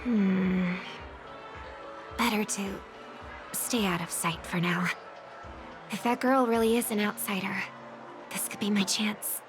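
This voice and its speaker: Slow-spoken, gentle young singer. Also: female voice, mid-range voice, fairly even intonation. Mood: wary, curious. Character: gentle, worried young woman singer, devoted little sister. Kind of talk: game voice acting, soft dramatic dialogue. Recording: background music under the voice.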